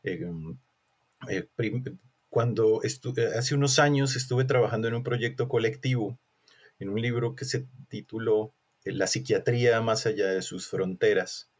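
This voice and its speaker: A man's voice: on the low side, fairly even intonation, a little slow. A man from Colombia, an academic giving conversational, discursive interview answers and often rephrasing himself. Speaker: academic researcher, interview guest.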